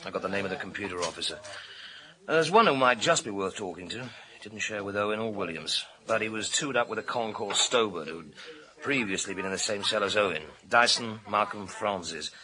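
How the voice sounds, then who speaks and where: A hard-driving British detective inspector in a radio crime drama. His voice is low and hard-edged, and he talks in blunt, commanding copper's slang. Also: animated, brisk, sharp tone, low-quality recording. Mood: businesslike. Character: driven, blunt male police inspector leading a murder hunt. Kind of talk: radio thriller acting.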